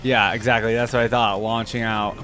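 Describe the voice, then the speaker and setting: A man's voice: on the low side, flat delivery, a little fast, sharp tone, slight background noise. A man giving casual, enthusiastic reaction commentary as a gaming video host, full of exclamations and slangy phrasing.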